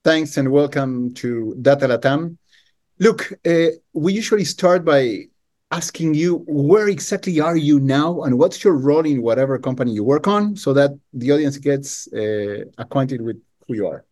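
A man's voice: a little high, moderate pace, darkish tone. A man hosting a podcast, asking questions in a friendly, conversational way with many fillers. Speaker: podcast host. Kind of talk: casual conversational interview.

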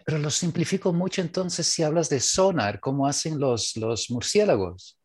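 A man's voice: fairly high pitch, moderate pace, husky. A man co-hosting a podcast, putting casual interview questions to a guest in Spanish. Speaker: podcast co-host.

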